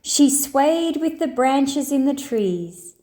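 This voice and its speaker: A woman storyteller reading a children's picture book aloud in an expressive, deliberate way. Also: sits high.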